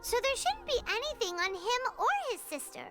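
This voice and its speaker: A woman voicing a tiny, excitable, childlike video game companion who is curious, blunt and exclamatory. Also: very high-pitched, a little fast. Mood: puzzled, insistent. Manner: exclamatory, questioning. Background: background music.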